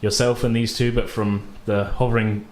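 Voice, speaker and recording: A man's voice: on the low side, level tone, moderate pace, slight background noise. A man narrating a tabletop role-playing game as game master, conversational, loosely describing things as he goes. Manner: conversational, hedging descriptions with "kind of" and "sort of".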